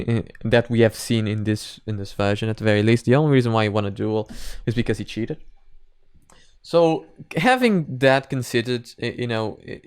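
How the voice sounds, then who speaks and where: A man giving casual reaction commentary and opinions in a halting, repetitive way, often qualifying what he says. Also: mid-range voice, clean tone, dry recording, studio quality. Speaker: reactor and reviewer.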